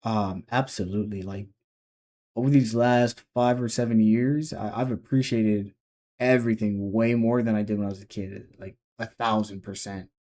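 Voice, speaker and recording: A man's voice: on the low side, fairly even intonation, moderate pace, warm tone. A man in his twenties gives casual reaction commentary, thinking aloud and restarting his sentences. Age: 20s. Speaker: reaction video host.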